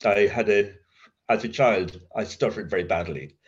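An Irish man sharing his recovery story, halting, with stammer blocks and many restarts. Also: fairly low pitch, muffled recording. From Ireland. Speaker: speaker at a recovery meeting. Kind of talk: personal recovery share.

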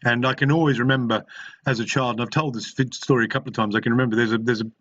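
A man's voice: medium pitch, fairly even intonation, a bit bright, highs cut off. Australian man giving a frank testimony. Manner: frank and reflective, with halting restarts. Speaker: speaker sharing at a recovery meeting. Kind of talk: personal testimony.

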